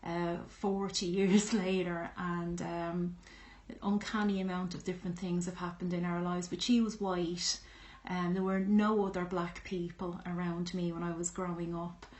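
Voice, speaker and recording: A woman's voice: on the low side, flat delivery, normal speed, a little mellow. Reflective Irish woman in an echoey room. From Ireland. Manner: reflective, frank, many fillers and restarts. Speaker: vlogger. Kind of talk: personal storytelling to camera.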